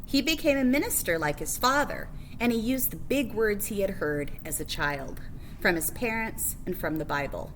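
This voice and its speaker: A woman's voice: medium pitch, lively intonation, normal speed, a bit bright, a little hiss or hum, rough recording. A woman reading a children's picture book biography aloud as a librarian, phrase by phrase with emphasis on key words.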